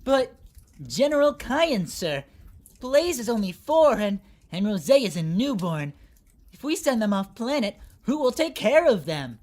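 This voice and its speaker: Woman as a high, excitable young soldier. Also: unhurried. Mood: anxious. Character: eager, anxious young army subordinate. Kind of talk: animated fantasy drama acting.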